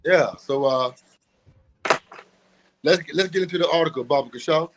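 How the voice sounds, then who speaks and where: An emphatic man lecturing with a slightly high-pitched voice. He talks in a preachy way, repeats his phrases for weight and laughs often between points. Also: moderate pace, background noise. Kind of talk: street-teaching talk.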